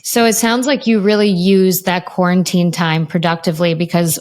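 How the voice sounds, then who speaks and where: A woman's voice: lowish voice, steady tone, normal speed, darkish tone. A woman hosting a music podcast, introducing the episode and interviewing guests in an enthusiastic, chatty way. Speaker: podcast host.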